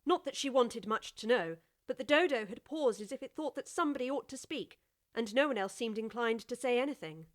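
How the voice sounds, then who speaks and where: A woman's voice: on the high side, lively intonation, a little fast. A woman narrator reading a scripted children's audiobook story.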